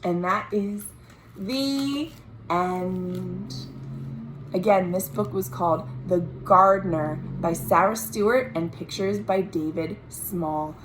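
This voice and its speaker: A woman's voice: on the low side, slow pace, noisy background. An American woman reading a picture book to young children, explaining it simply and expressively as a librarian at storytime. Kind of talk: children's picture-book read-aloud with commentary. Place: recorded at home.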